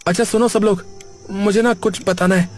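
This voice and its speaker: A man's voice: sits high, fairly even intonation, moderate pace, soft background music, close to an everyday voice. An actor voicing an easygoing, joking young man in a cartoon, talking in a casual, colloquial way. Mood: nervous. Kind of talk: cartoon character acting.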